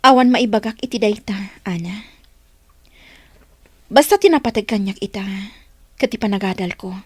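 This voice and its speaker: Actress as guarded young mother, radio drama: husky-breathy, hesitant, earnest, dry studio sound. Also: mid-range voice, moderate pace, no echo, close to an everyday voice.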